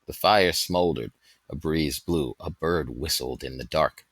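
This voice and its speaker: Deep, forceful male story narrator. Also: measured pace, slightly rough, dry recording. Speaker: audiobook reader. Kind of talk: expressive scripted story narration.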